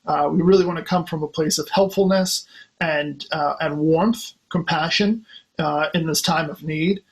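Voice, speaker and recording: A polite, encouraging man hosting an interview. His voice sits a bit higher than most men's, and he asks his questions in an easy conversational way. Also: steady tone, moderate pace, rough recording. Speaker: host.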